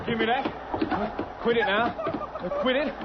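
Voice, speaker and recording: An actor playing a rough, bossy sailor aboard ship in old-time radio drama, with a brusque manner. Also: male voice, extremely high pitch, takes it slowly, a loud crowd. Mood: agitated. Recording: muffled, noisy old radio recording.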